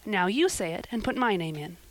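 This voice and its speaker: A woman's voice: mid-range voice, lively intonation, fairly quick, close to an everyday voice, poor audio. A woman voicing an eager, talkative orphan girl with a gushing, romantic way of speaking. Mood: earnest.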